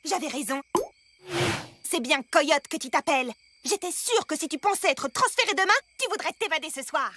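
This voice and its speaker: An actress playing a sharp, suspicious cartoon prison official with a smug, officious manner. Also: female voice, sits high, lively intonation, normal speed, a little hiss or hum. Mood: triumphant. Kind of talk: animated cartoon dubbing. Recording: music behind the voice.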